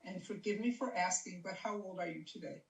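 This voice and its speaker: A woman interviewer asking polite, measured, scripted questions over a video call. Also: lowish voice, creaky, roomy sound, far from the mic, rough recording.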